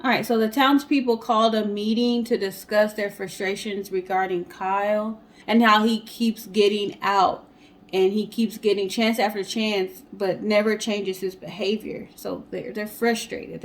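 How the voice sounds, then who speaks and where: A woman with an average-pitched voice co-hosts a storytime show. She relates the story from notes in a casual, conversational style. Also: steady tone, unhurried. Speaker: co-host of a storytime guessing-game show.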